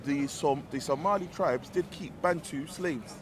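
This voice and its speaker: A man's voice: a little high, background noise. A man debating in a public square outdoors, asking probing questions and restarting his words often. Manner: probing, rapid, repeats words. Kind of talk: street debate.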